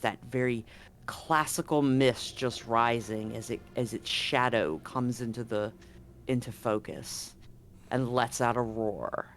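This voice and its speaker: A man's voice: medium pitch, a bit bright, slight background noise. A man narrating as game master in a tabletop game, loose and casual with many fillers and restarts.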